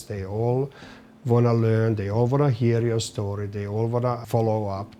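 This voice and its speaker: Finnish-accented man with a mellow, low voice. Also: slight background noise. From Finland. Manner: halting, searching for words, loose grammar. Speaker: chef and business owner. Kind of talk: interview answers.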